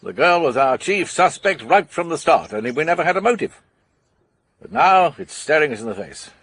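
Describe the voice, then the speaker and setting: An actor playing a cocksure, assertive British police investigator with a husky voice in a radio mystery drama. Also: male voice, on the high side, big pitch swings, normal speed, a bit bright, no room sound, full character voice. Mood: confident, accusing. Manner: insistent, assertive.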